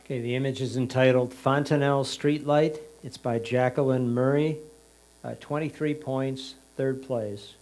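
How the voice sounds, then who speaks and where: A man's voice: mid-range voice, steady tone, moderate pace, darkish tone. A man plainly announcing entries and scores at a competition. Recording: faint mains hum.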